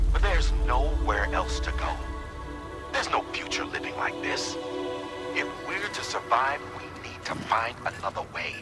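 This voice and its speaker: Grim man, dramatic swings in pitch. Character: grim, weary male guide speaking of humanity's ruin. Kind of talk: cinematic video game voice acting.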